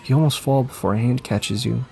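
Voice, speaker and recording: A man's voice: medium pitch, a little fast, creaky, mellow. A man narrating a scripted audio story in the second person, with faint ambience behind him. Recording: faint background noise and ambience.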